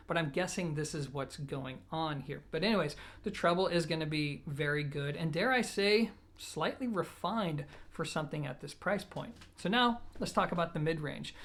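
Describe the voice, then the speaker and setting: A man presenting a product review in a conversational, explanatory way, measured but leaning on key words for emphasis. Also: on the high side, fairly bright tone. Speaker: audio gear reviewer, presenter.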